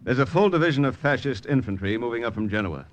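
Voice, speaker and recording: An actor playing a steadfast American intelligence lieutenant in old radio drama style, measured and stoic, with an American accent. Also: male voice, medium pitch, expressive, highs cut off, lightly acted. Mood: firm, resolute. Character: steadfast American male OSS lieutenant, resolute and self-sacrificing. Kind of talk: 1940s-50s radio acting, first-person narration and dialogue. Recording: old-time radio recording with music behind.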